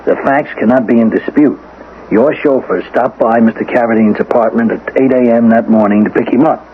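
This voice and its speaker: A man's voice: mid-range voice, a little fast, lightly acted. Man acting a weary, patronizing police lieutenant, tinny recording. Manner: hesitant hemming, official and patronizing. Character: weary, condescending male police lieutenant, defensive about his case. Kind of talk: 1970s radio drama acting. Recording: tinny, noisy old radio broadcast recording.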